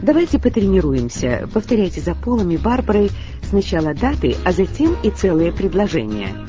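A woman's voice: mid-range voice, big pitch swings, fairly quick. A woman narrating and giving instructions in a language course. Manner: clear, instructing. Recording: dull lo-fi audio with faint music.

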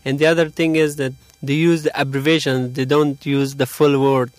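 Man, young adult, hesitant interviewee, monotone, dry recording. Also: mid-range voice, no echo. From Afghanistan. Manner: hesitant, halting, many pauses. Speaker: interviewee, English learner. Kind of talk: interview answers.

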